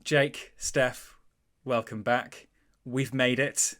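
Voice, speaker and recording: A man with a Mancunian accent hosting a film podcast in casual chat, relaxed and enthusiastic, laughing easily. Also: mid-range voice, drawn-out words. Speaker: podcast host. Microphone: headset.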